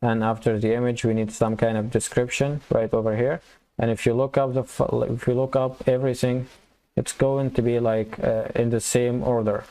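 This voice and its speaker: A man giving a casual coding tutorial, thinking aloud and explaining step by step in an informal, halting way. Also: mid-range voice, flat delivery, dark tone. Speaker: programming tutorial instructor.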